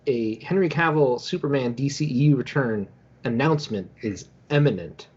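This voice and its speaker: A man with a husky, mid-pitched voice co-hosts a casual podcast. He talks through news conversationally. The recording is a little dull. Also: lively intonation, normal speed, a little mellow. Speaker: podcast co-host. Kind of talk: casual news commentary and chat.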